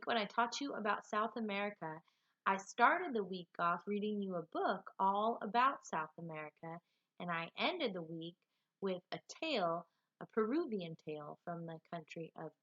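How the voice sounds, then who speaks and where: A woman teacher speaking to young pupils and reading aloud to them in an animated, expressive way. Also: mid-range voice, lo-fi sound. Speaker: elementary teacher. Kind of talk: read-aloud lesson for children.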